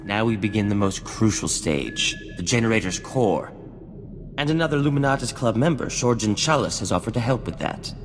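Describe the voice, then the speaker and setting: An actor playing a vain, refined scientist-inventor in a video game cutscene, speaking in a formal, theatrical and florid way. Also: male voice, lowish voice, expressive, normal speed. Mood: smug, airy. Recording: long reverb, music underneath.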